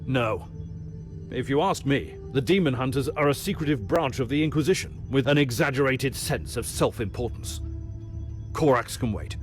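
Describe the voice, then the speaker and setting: A man playing a stern, impatient Inquisitor in a dark sci-fi video game, curt and commanding. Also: mid-range voice, animated, moderate pace, faint music behind, close to an everyday voice. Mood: dismissive, resolute. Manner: curt, authoritative, decisive. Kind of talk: scripted video game dialogue.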